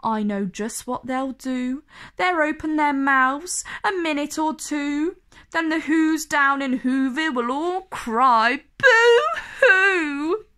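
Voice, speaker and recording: A woman's voice: high voice, lively intonation, pushed and bright, exaggerated voice. Woman putting on a sly, swooping old storybook villain creature. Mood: mocking glee. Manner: fake-sweet, drawn-out, gloating. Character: sly, mean old male Grinch, a gloating liar.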